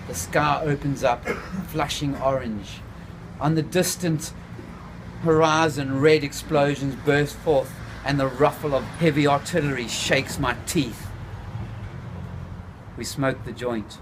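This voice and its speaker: A man's voice: medium pitch, a little hiss or hum, rough recording. Man reading his own novel aloud. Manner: laid-back, unhurried reading with small slips. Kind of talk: first-person novel reading.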